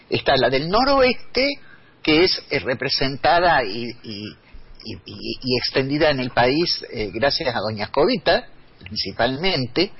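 A man's voice: medium pitch, husky. A man, speaking as a radio guest and explaining in a lecturing way with many restarts. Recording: dull lo-fi radio recording.

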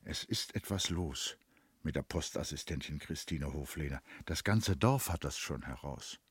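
A man narrating a literary radio play in an expressive, deliberate, dramatic manner. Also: very deep voice, breathy edge, no echo. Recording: faint background noise.

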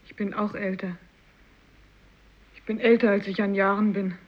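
Eine Schauspielerin spielt in einem literarischen Hörspiel eine trauernde, vorzeitig gealterte Fischersfrau. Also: female voice, medium pitch, little pitch movement, moderate pace, close to an everyday voice. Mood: sorrowful. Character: bereaved fisherwoman, aged beyond her years, secretive grief. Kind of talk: 1950s literary radio play acting. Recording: dull, lo-fi radio recording.

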